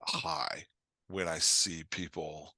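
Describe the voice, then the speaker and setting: Bright-voiced man, dry close sound. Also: extremely low pitch, steady tone, slow, dry recording. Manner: rambling, peppered with "like" and reported quotes. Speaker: podcast guest and writing coach. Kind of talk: casual conversation, explaining and joking.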